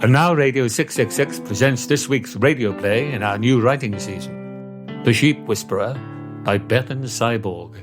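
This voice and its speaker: A man's voice: medium pitch, normal speed, music in the background, natural voice. A man playing a formal continuity announcer in a radio comedy.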